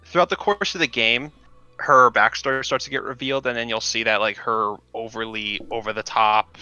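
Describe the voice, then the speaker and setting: A man's voice: medium pitch, steady tone, normal speed, bright, forceful tone, smooth, dry recording. A young man co-hosting a casual gaming podcast, rambling through ideas with frequent restarts and fillers. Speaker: podcast co-host. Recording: dull lo-fi sound with faint music.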